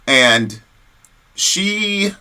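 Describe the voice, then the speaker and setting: Bright-voiced man talking casually. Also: high voice, drawn-out words, clear, resonant tone. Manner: animated, slangy and conversational. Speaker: host of a news commentary show. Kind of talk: casual commentary and personal storytelling.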